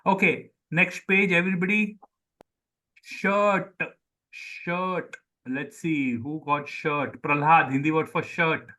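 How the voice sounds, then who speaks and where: A man with an Indian accent and a somewhat high voice leads an online language lesson. A native Sindhi speaker, he repeats words for his pupils and explains them as he goes. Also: fairly even intonation, slow pace, bright, forceful tone. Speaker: Hindi teacher.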